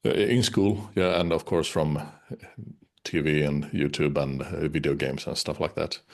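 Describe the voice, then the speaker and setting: A man's voice: rumbling low, steady tone, a little slow, creaky. A man whose first language is Finnish, speaking English in a casual interview, hesitant, with many uhs and restarts. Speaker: interviewed musician.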